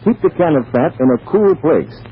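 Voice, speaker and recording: A man's voice: on the high side, expressive, normal speed, a little hiss or hum. A man with an American accent announcing earnestly and emphatically, reading a scripted 1940s radio appeal. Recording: tinny, thin 1940s radio recording.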